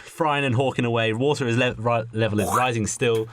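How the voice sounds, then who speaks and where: A man co-hosting a podcast in casual comedic brainstorming, halting as he thinks aloud. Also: medium pitch, fairly even intonation, very noisy. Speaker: podcast co-host.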